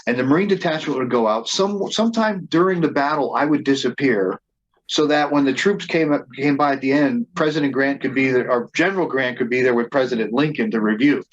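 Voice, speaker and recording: A man's voice: mid-range voice, brisk, highs cut off. A man who leads a band, telling stories in a casual interview and often restarting and repeating words as he goes. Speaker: band leader and reenactor being interviewed.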